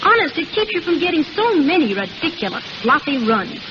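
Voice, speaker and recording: A woman playing a brisk, sensible, chiding young roommate in a 1930s radio advertisement. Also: high-pitched, very animated. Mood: exasperated. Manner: bossy, exasperated. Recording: muffled noisy 1930s radio recording.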